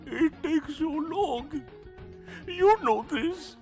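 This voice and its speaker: A woman promoting a fan series, pleading for support in a halting, coaxing way. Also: high voice, lively intonation, darkish tone, faint music behind. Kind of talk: hesitant, pleading support appeal.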